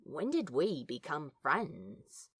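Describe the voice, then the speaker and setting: A woman plays a sardonic, foul-mouthed modern friend in an audio drama, blunt but grudgingly fond. The delivery is a slow, sarcastic drawl that swings up and down with emphasis. The voice is sharp and closely, dryly recorded. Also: sits low, wildly swooping pitch, husky, no room sound, clean studio sound, full character voice. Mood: grudging fondness. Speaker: character voice actor. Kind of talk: fan fiction audio drama dialogue.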